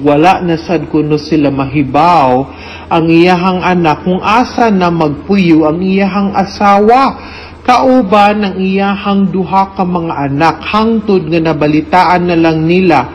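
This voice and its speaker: A woman with a Cebuano Filipino accent giving legal advice as a radio host, deliberate and emphatic, mixing in English. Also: medium pitch, darkish tone, a little hiss or hum.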